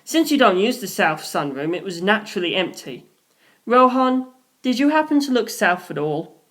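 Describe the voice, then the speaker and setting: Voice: mid-range voice, big pitch swings, normal speed, a bit bright. A narrator voicing a polite young male detective who explains his deductions formally and methodically. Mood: confident explaining.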